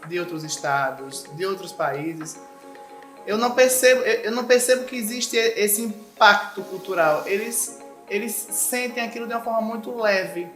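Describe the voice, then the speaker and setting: A woman's voice: mid-range voice, normal speed, fairly bright tone. Earnest woman. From Sergipe, Brazil. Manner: earnest, explanatory, acts out quotes of parents. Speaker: school coordinator. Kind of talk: interview guest explaining. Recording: echoey room with background music.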